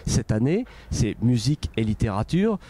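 Chatty male interviewer, warm mid voice. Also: medium pitch, big pitch swings, moderate pace, husky. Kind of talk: event interview.

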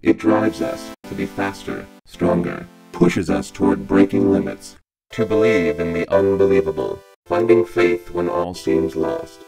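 Male dramatic motivational read, vocoder effect, very low, gravelly, heavy synth tones. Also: unhurried, over loud music, low-quality recording. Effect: synth vocoder, robotic.

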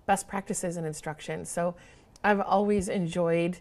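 A middle-aged woman from Michigan, a guest on a studio interview show, talking in a warm, expressive way with easy laughs, on a lapel mic. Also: fairly low pitch, unhurried. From Michigan, USA. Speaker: guest, wildlife photographer. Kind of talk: interview conversation. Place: studio set.

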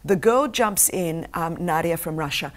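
Middle-aged woman, Greek as first language, lower voice, earnest and emphatic as a talk-show guest on a lapel mic. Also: unhurried. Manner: intense, emphatic, animated hand gestures. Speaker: guest, anti-trafficking campaigner. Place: TV studio set with sofas.

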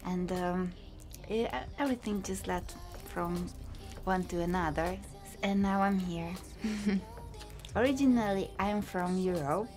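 Young Hungarian-accented woman, student interview, hesitant with many ums, soft music underneath. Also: lowish voice, unhurried. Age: young adult. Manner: hesitant, many fillers, light laughs. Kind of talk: casual interview answers. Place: recording studio control room. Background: background music.

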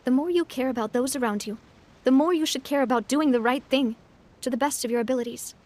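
A woman voicing a cheerful, sincere young woman leader in a video game, speaking in a gentle, earnest, measured way. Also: high-pitched, a little hiss or hum, lightly acted. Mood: earnest, wistful. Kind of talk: animated video game voice acting. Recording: soft music behind the voice. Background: soft game music.

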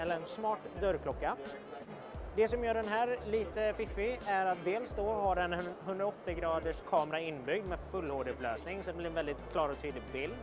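A young man giving an informal, explanatory product demonstration to camera, as a video presenter. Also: sits high. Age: young adult. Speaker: video presenter for an electronics retailer. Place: indoor trade fair booth.

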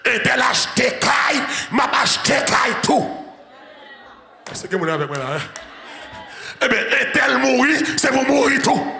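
Haitian man preaching, high gravelly voice, slow shouted lines, echoey hall with soft music. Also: lively intonation. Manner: shouting, chanting repetitive preaching. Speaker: pastor. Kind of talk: sermon. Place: large church sanctuary with congregation. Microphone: handheld mic.

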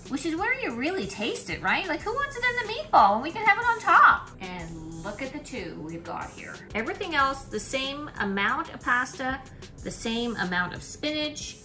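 A middle-aged woman talks through a recipe in her home kitchen, chatty and thinking aloud as she cooks. Her voice sits slightly high. The room is echoey, and music plays clearly underneath. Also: big pitch swings, moderate pace, bright, forceful tone. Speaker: cooking vlogger. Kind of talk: casual cooking demonstration.

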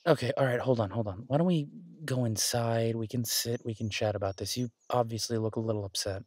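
A man's voice: mid-range voice, a little fast, a little mellow, slightly rough, no room sound, natural voice. A man playing a caring, easygoing boyfriend in an intimate audio roleplay, talking in a hesitant, reassuring, conversational way. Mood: concerned, soothing. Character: caring, easygoing human boyfriend of a werewolf woman.

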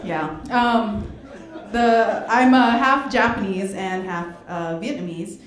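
A woman's voice: medium pitch, slow pace, background noise. A young woman performing a stand-up comedy set on a club stage into a handheld mic, laughing between jokes. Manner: animated, laughing between jokes, puts on voices for bits. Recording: roomy club with crowd laughter. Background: audience laughing.

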